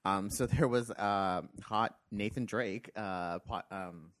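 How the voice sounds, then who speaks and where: A man's voice: on the low side, slow, fairly bright tone. A man hosting a casual group podcast, playful and giggly. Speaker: podcast host.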